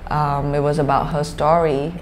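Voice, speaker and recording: Low-voiced Malaysian woman in a noisy recording. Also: level tone, normal speed. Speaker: interview guest, social enterprise founder. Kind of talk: conversational interview answers. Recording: clearly noisy background ambience.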